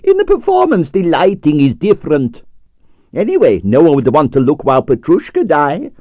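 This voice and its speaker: A man voicing an excitable older ballet impresario who exclaims theatrically. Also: on the high side, moderate pace, no echo, muffled recording, voice pushed up. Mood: amused. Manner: theatrical, exclamatory, broken grammar.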